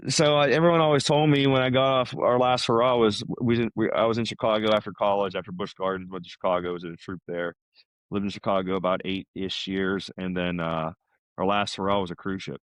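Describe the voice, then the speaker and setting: Casting: American man, middle-aged, low register, loose chatty storyteller, dry sound. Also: fairly even intonation, fairly quick, smooth, no echo. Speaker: podcast guest, salesman. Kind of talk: casual chat.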